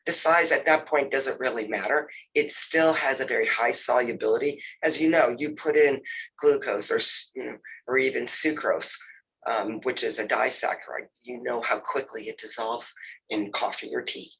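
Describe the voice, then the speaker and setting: Male instructor with a cold, nasal and husky, loose and filler-heavy delivery, computer mic. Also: medium pitch, moderate pace, low-quality recording. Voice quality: nasal, congested. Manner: relaxed, filler-heavy, says "you know" often. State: has a cold. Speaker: lab instructor. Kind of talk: casual recorded lab walkthrough over a video call.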